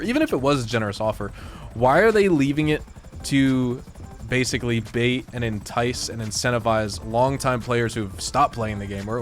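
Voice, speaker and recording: A young man commentating casually over his gameplay, rambling and conversational, with a slightly hoarse, congested voice. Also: mid-range voice. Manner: rambling, conversational, many fillers. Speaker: gaming YouTuber.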